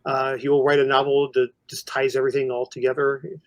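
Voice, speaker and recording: A man's voice: mid-range voice, flat delivery, fast talker, dry recording, poor audio. A man co-hosting a horror news podcast, chatting casually about films with fellow hosts.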